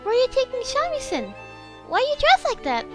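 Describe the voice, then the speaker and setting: A hyper eleven-year-old girl in an audio drama, her voice piercingly high and leaping all over the place. She sounds whiny and clingy. Music plays clearly behind her. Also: wildly swooping pitch, brisk, full character voice. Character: excitable little girl, eleven years old. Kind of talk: fan audio drama acting.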